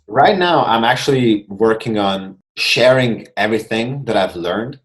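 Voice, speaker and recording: Guest coach, male, 20s, Hungarian-born, non-native English, slightly low pitch, thoughtful, video call sound. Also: lively intonation, measured pace, soft background music. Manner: earnest, reflective, frequent restarts. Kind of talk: casual interview chat. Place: home room, video call.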